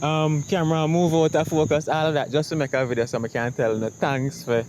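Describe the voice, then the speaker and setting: A man's voice: fairly high pitch, fairly even intonation, brisk, a little mellow, slight background noise. A man with a Jamaican accent chatting casually to camera as a vlogger, reflective and optimistic in a rambling way. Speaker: YouTube vlogger.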